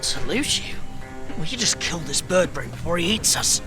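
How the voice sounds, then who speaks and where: Bookish youth, excitable, blurting, lively pitch swings, video game cutscene, music behind. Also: male voice, moderate pace. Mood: incredulous. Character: excitable, bookish young male companion, outspoken and anxious.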